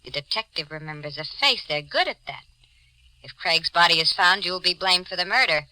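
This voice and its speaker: Cold manipulative femme fatale, piercingly bright timbre, dry close sound, 1940s radio drama. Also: female voice, fairly low pitch, expressive, normal speed, dry recording, low-quality recording. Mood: menacing, taunting. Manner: coolly taunting, insistent. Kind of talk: 1940s radio thriller acting.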